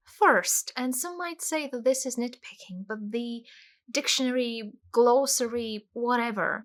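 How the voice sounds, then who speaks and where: A woman's voice: a little high, fairly bright tone. A woman from Bulgaria, ranting animatedly and emphatically as a casual book vlogger. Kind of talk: casual book review rant.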